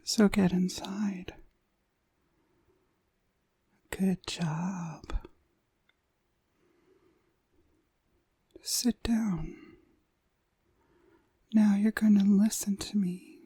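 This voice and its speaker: A woman's voice: mid-range voice, steady tone, dark tone, dry recording. A woman playing a seductive, commanding witch hypnotist in a whispered ASMR roleplay, lulling and possessive, close to the microphone. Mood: teasing, commanding. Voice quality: breathy, whispery. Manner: slow, deliberate, commanding, lulling. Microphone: close, dry microphone.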